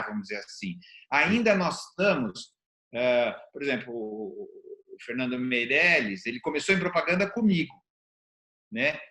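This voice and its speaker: A man's voice: on the high side, sharp tone. A middle-aged or older Brazilian man in a conversational discussion, explaining things and repeating phrases for emphasis. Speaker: interview show host. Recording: roomy, echoing sound.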